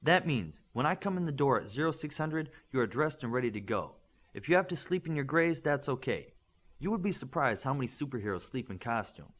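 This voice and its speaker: A male narrator plays a stern mentor of young superheroes in an audiobook. He lectures firmly and with principle, leaning on rhetorical questions, his mid-pitched voice rising and falling emphatically. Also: sing-song, fairly quick, fairly bright tone. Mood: earnest, firm. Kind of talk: audiobook character dialogue.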